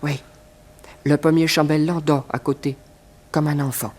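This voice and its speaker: Methodical male villain played by an actor, deliberate, terse, a little high-pitched, 1960s radio play. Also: little pitch movement, a little mellow, natural voice. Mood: cold, secretive. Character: cold, methodical male conspirator plotting a robbery.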